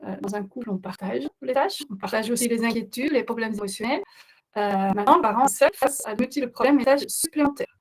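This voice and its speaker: A woman's voice: a little high, fairly quick, a little hiss or hum. A middle-aged woman with a non-native accent, hosting a webinar as a parenting coach, speaking earnestly with frequent hesitations. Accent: non-native speaker of French. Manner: hesitant, many filler sounds, earnest. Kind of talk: webinar presentation.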